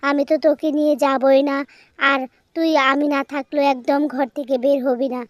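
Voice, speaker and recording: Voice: sits high, flat delivery, normal speed, dry recording, natural voice. A performer voicing a strict, worried mother goat in a children's cartoon, scolding and warning. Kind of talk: children's animated story dialogue.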